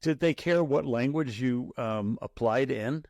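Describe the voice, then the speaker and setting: A man's voice: mid-range voice, a little mellow, no room sound. Man hosting a startup podcast. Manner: reads intros smoothly, halting when asking questions. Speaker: podcast host. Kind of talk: interview host with read intro.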